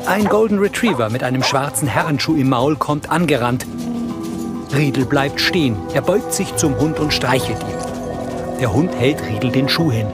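Man, medium pitch, scripted narration, measured, factual, audio describer, some music behind. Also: lively intonation. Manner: measured, matter-of-fact. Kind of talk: scripted narration, describing scenes of a TV crime series.

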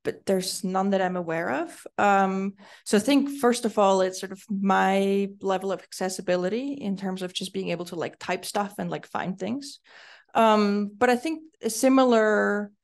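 A woman speaks in a gravelly, fry-laced voice. She answers panel questions thoughtfully, pausing with ums and restarting her sentences. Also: medium pitch. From Switzerland. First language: Swiss German. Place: lecture room.

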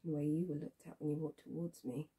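Woman acting a wounded young woman, breathy and high. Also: heavily acted. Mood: wounded, probing. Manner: halting, repeats phrases, swings between hushed and intense. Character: wounded, bitter young woman confronting her past abuser. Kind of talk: dramatic stage monologue, naturalistic acting.

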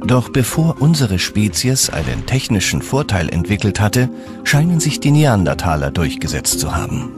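A man reading scripted documentary narration in a measured, serious way. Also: on the low side, very animated. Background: background music.

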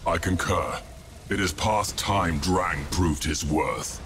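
A man playing a cold, calculating warlord of a dark fleet in a game cutscene, speaking in a measured, imperious way. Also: low-pitched, noisy background. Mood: grim, measured. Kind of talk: dramatic video game cutscene acting. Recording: music under the voice.

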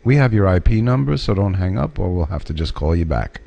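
A man's voice: sits low, lively intonation, a little fast, vocal fry, dark tone, lo-fi sound. A middle-aged man speaking in a stern, confrontational, officious way, like a reporter questioning someone on a prank call, into a studio mic. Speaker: prank caller posing as a TV reporter. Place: small home studio room. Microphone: studio microphone on a boom arm, headphones.

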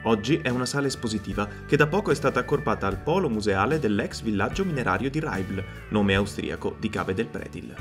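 A man narrating a comic travel show with wry, deadpan jokes. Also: lowish voice, brisk, few pauses, soft background music.

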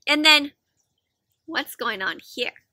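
A young adult woman doing an expressive, interactive picture-book read-aloud for children, asking lots of questions. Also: sits high, slow pace, no echo, clean studio sound. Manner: expressive, asks frequent questions, gasps. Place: outdoors in a fenced backyard.

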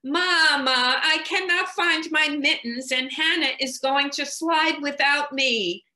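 A woman's voice: sits high, slow, sharp tone, reverberant, pitched above the performer's own voice, heavily acted. A woman voicing a small pleading child in a storybook, with a plaintive, whining manner. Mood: upset, pleading.

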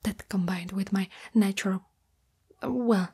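A shy spider monster girl, played by a woman, speaks in an ASMR roleplay. Her voice is an extremely breathy whisper, soft and mellow in tone. She goes slowly and hesitantly, tender and lovestruck, as if confiding in a partner. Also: mid-range voice. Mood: hesitant, self-conscious. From Italy.

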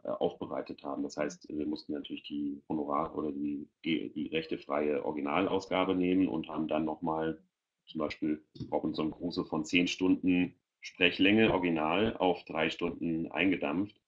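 Middle-aged man, very low voice, informal webinar explainer, searching for words, muffled headset recording. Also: steady tone, normal speed. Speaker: panel guest presenting a children's audio portal. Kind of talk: informal presentation in an online webinar. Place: indoor room, video call. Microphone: headset on a video call. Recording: dull lo-fi video-call audio.

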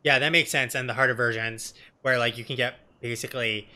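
A man's voice: mid-range voice, fairly even intonation, brisk, sharp tone. A man in his thirties giving casual, sweary live game commentary as a streamer in a room at home. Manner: sweary, colloquial. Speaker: streamer, let's player.

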